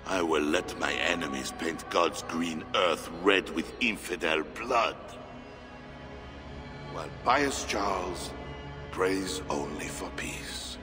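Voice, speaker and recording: A man's voice: low voice, over music. A scheming, sneering king. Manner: slow, deliberate, sneering. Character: scheming portly Frankish king, menacing, mocking. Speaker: king Charles. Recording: game score under a long-reverb hall.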